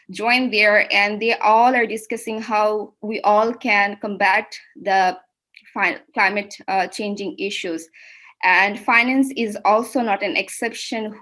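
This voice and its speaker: A young woman with a Bangladeshi accent presents academic research as a PhD student, speaking in a halting way with repeated and rephrased words. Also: mid-range voice, fairly bright tone. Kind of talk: academic seminar presentation, semi-spontaneous.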